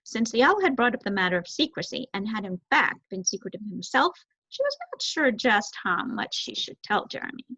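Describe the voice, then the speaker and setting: A woman's voice: medium pitch, sing-song, fairly quick, few pauses, pushed and bright, breathy edge, dry recording. Young woman reading a children's novel aloud, dry. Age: young adult. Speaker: student storybook reader. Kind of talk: expressive read-aloud narration of a children's novel. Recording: slightly dull, dry recording.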